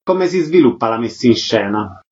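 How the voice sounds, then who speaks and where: A man's voice: medium pitch, animated, moderate pace, a little mellow, dull audio. A man hosting a radio programme, asking concise questions and making formal announcements. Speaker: radio host and interviewer.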